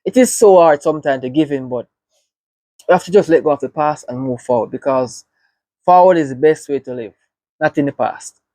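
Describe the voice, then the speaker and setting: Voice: mid-range voice, brisk, warm tone, no echo. A reaction video host with a Jamaican accent, giving earnest, reflective commentary and often checking in with "right?".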